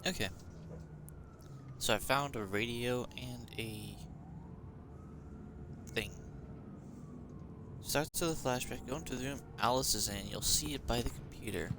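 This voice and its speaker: A man's voice: medium pitch, a little slow, long pauses, background noise. A man giving casual live playthrough commentary as a game streamer, wisecracking and talking back to the game. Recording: loud game music behind voice.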